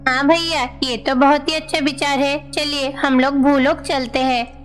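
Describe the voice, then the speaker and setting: Woman voicing a high-pitched playful young boy god. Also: fairly even intonation, measured pace. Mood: eager. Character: young boy gods, playful divine brothers. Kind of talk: animated devotional story dialogue. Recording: long reverb, music underneath.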